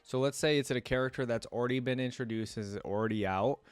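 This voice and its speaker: A man's voice: mid-range voice, fast, clean tone, no echo. A man giving casual reaction commentary as a host, talking conversationally and directly to his viewers.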